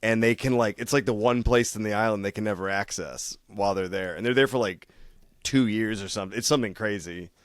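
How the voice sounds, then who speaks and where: A man's voice: on the low side, a little fast, clean tone, no room sound, studio quality. A young man co-hosting a podcast, rambling in casual chat, laughing and swearing easily. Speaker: podcast co-host.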